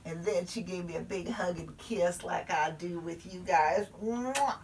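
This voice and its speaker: A woman's voice: fairly low pitch, a little slow, darkish tone, distant mic, slight background noise, close to an everyday voice. A woman storyteller voicing a chatty little first-grade girl who confides in her listeners. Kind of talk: performed storytelling.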